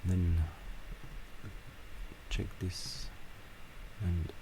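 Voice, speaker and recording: A man talking through a software tutorial step by step, with halting pauses between instructions. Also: deep voice, level tone, gravelly, noisy background. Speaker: tutorial presenter. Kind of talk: screen-recorded software tutorial.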